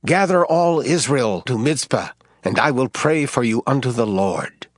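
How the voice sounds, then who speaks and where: A man playing a solemn, commanding prophet in a dramatized scripture reading, exhorting in measured phrases. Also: mid-range voice, expressive, no echo, clean studio sound. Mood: solemn exhortation. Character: Israelite prophet, a solemn, commanding religious leader.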